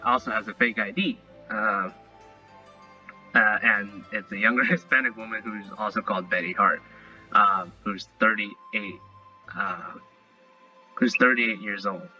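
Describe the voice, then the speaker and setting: Sharp, rambling man reviewing casually. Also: fairly low pitch. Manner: rambling, halting, repeats himself. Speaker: online cartoon reviewer. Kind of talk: casual unscripted review and recap. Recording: dull lo-fi audio, music bed. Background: faint music.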